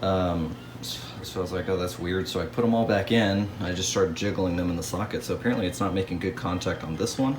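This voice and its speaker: A man's voice: deep voice, fairly quick, hardly any pauses. A man giving casual hands-on repair commentary, thinking aloud in a hesitant way as he works. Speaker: radio restoration hobbyist. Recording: clear background noise.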